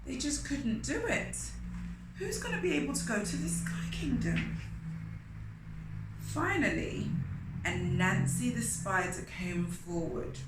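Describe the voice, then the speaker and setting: A woman's voice: on the high side, animated, slow pace, long pauses. A woman reading a picture book aloud to children, expressively, with asides and questions to her young listeners. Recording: roomy echo, faint background noise.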